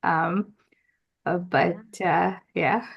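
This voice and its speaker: A woman's voice: fairly low pitch, steady tone, very slow, gravelly, husky, dull audio. Hesitant woman with lots of fillers. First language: not English. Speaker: guest asking a question. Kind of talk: conversational question on a call.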